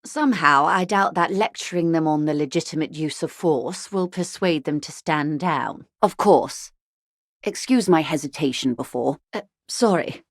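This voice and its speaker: An actress voicing a composed, well-educated female corporate negotiator in a video game, speaking formally and politely in a measured, articulate way. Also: deep voice, dry recording, studio quality. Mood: cautionary, composed. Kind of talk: dramatic video game dialogue.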